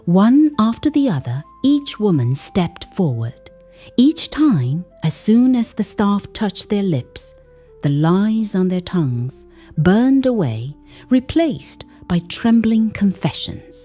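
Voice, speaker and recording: A woman's voice: mid-range voice, big pitch swings, takes it slowly, warm tone, faint music behind. A woman narrating a folktale in a dramatic, scripted storytelling style.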